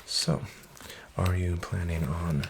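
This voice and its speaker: A man playing a smooth-talking, falsely friendly casino dealer, soft-spoken and half-whispered. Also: low voice, steady tone, slow pace, full character voice. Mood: sly coaxing. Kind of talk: soft-spoken ASMR roleplay. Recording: audible background noise with card shuffling.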